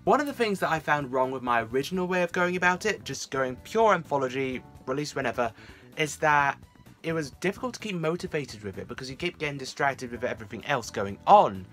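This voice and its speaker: A man's voice: fairly high pitch, expressive, fairly quick, a bit bright, faint music behind. A young man talking casually to camera as a fan series creator, chatty, with self-deprecating asides. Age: young adult. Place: small room indoors at home.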